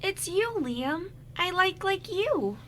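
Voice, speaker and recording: Woman putting on a very high, sweet girl. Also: big pitch swings, takes it slowly, a bit bright, heavily acted. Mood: tender, shy. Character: sweet, gentle teenage girl reading her written notes. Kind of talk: amateur radio drama script reading. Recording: light background noise and hum.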